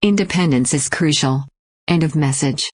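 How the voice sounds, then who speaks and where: Low, stern emergency announcer played by a woman. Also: moderate pace, dry recording. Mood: stern, detached. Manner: clipped, impersonal, instructional. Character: impersonal female emergency broadcast announcer, stern and clipped. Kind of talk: scripted emergency message in radio drama.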